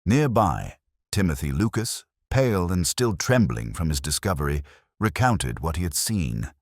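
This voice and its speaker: Male audiobook narrator for mystery fiction: very deep bass, expressive intonation, measured storytelling. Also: no room sound, studio quality. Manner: measured, literary storytelling delivery.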